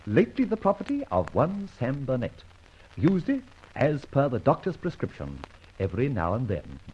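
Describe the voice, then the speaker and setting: An actor playing a dashing, breezy gentleman adventurer in an old-time radio detective drama. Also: medium pitch, wildly swooping pitch, normal speed, slight background noise. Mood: breezy, scheming. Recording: dull, lo-fi old radio recording, faint music.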